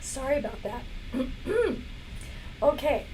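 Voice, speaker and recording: A woman hosting a casual product demo live stream. She is losing her voice and keeps coughing and clearing her throat between chatty remarks. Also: high voice, big pitch swings, slow pace, darkish tone, slight background noise, poor audio. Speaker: live shopping stream host. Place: indoor workplace studio.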